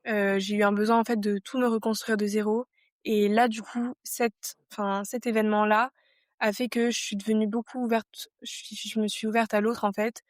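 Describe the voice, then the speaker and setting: A woman's voice: mid-range voice, level tone, normal speed, no echo, clean studio sound. A woman in her twenties from France, a podcast guest talking things over casually and reflectively, with many fillers and restarts. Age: 20s.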